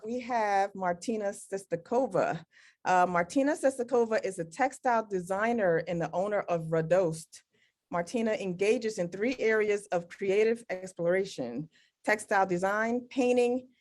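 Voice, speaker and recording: A woman hosting an arts show, introducing and interviewing guests in a conversational style. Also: medium pitch. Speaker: show host.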